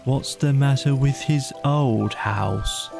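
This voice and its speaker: Man as a very slow, gloomy storybook donkey. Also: medium pitch, gravelly, music in the background. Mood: aggrieved. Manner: ponderous, drawn-out, mournful grumbling. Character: gloomy, aggrieved, sarcastic old donkey. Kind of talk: storybook audio play.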